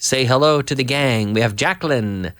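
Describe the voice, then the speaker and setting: A man with a cold and a hoarse voice, teaching English live to viewers in a chatty, slow, explaining way. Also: mid-range voice, a bit bright, no room sound, studio quality. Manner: chatty, reads viewer messages aloud and explains slowly. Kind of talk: live online English lesson.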